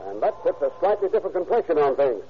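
An actor playing a steady, no-nonsense police detective who asks brisk, dry questions, in 1940s radio acting. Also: male voice, on the high side, slight background noise. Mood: thoughtful. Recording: muffled old radio recording.

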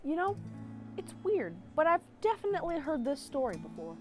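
A woman playing a wry, quick-witted halfling storyteller in a fantasy audio drama, sardonic and theatrical. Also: high voice, very animated, faint music behind. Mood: wry, teasing.

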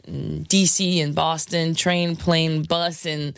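A woman DJ with a scratchy, hoarse voice, chatting frankly in an interview with lots of fillers and laughs. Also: low voice, little pitch movement, slow pace, no room sound, studio quality. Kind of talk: candid casual interview talk.

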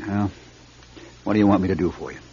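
Husky, exasperated American campaign manager. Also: male voice, low-pitched, fast, mellow. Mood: urgent, pleading. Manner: insistent, pleading, emphatic repetition. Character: exasperated, urgent male political campaign manager. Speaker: radio drama actor. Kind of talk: classic American radio drama acting. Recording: dull lo-fi radio recording.

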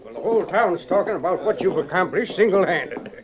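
A high-pitched, hearty western townsman. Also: lively intonation, brisk, over a noisy crowd. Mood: admiring. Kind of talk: old-time radio western acting. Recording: dull vintage radio recording.

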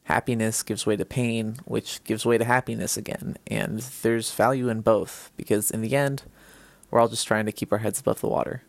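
A man's voice: fairly low pitch, fairly even intonation, moderate pace, vocal fry, dry recording. A man from Los Angeles reading a reflective, heartfelt listener message to a podcast.

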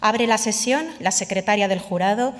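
A woman's voice: medium pitch, moderate pace. A woman formally reads out official minutes at a ceremony. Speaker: official reading jury minutes. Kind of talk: formal document reading. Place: large indoor hall. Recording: reverberant ceremony hall.

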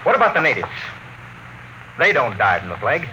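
An American actor playing a grave, dramatic military captain of a doomed space expedition in an old radio drama. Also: male voice, medium pitch, sing-song, brisk, a little hiss or hum. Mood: stern, alarmed. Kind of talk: old radio drama acting, first-person narration. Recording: muffled old radio broadcast, loud music.

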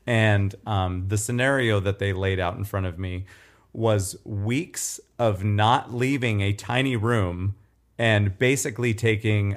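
A man with a somewhat low, nasal and throaty voice chats on a podcast. He rambles through stories and pokes fun at himself in an easy, casual way. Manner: self-deprecating, rambling storyteller. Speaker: podcast co-host. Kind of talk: casual chat.

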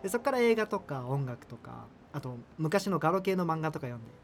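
A man's voice: on the high side, very animated, fairly quick, in a large hall. An actor playing an earnest, self-conscious male university student obsessed with subculture in a radio drama. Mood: awkward, earnest. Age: young adult. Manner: hesitant, self-conscious, apologetic. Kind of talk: radio drama dialogue and inner narration. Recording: music behind the voice. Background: music.

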